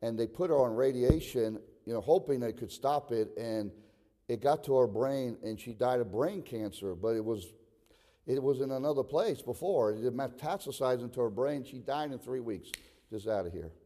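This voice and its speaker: A man's voice: mid-range voice, normal speed. Older male preacher in a reverberant hall. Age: 60s. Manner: emphatic, swings from conversational to shouted exhortation. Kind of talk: sermon. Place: large reverberant room, preaching to a congregation.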